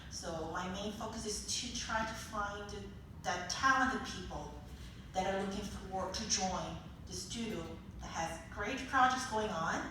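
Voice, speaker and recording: A woman recruiter introducing herself on a panel, earnest and a little hesitant. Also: medium pitch. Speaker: talent acquisition head. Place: conference hall. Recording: echoey hall, distant mic, noisy.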